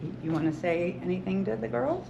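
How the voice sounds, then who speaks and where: A woman in her sixties speaks warmly to a group of students as a veteran hairstylist. She is earnest and encouraging and rambles with frequent restarts. The recording is dull and lo-fi, with clear background noise behind her. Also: fairly low pitch, animated, brisk. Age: 60s. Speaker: hairstylist and salon owner. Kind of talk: talk to beauty school students.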